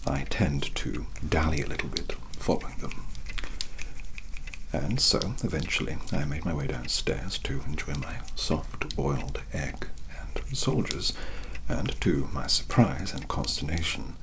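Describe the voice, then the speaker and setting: A man playing a pompous Victorian master detective, telling a story in a soft, often whispered ASMR voice with precise diction and a leisurely, self-amused manner. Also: very deep voice, animated, unhurried, noisy background, exaggerated voice. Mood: languid, whispery.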